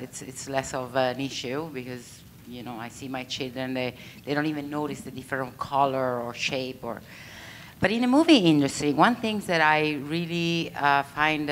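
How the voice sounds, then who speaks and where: A woman's voice: very deep voice, a bit bright, a little hiss or hum. Italian-accented woman asking a hesitant question. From Italy. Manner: hesitant, searching for words. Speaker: audience member asking a question. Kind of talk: panel question from the audience.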